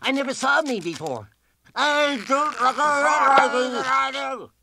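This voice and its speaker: An actor playing an excitable, indignant male cartoon scientist in a blustering, whiny manner. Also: very high voice, takes it slowly, heavy background noise, heavily acted. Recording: loud music behind the voice.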